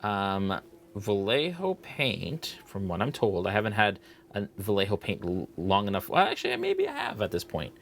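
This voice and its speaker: A man hosting a live miniature painting tutorial, relaxed and chatty, thinking aloud as he paints. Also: fairly low pitch, normal speed, creaky, a little hiss or hum.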